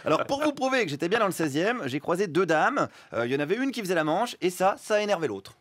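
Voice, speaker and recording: A man's voice: high-pitched, sing-song. A man delivering a satirical radio chronicle in an ironic, mocking tone from a studio. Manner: ironic, mocking, quick asides. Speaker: radio comedy columnist.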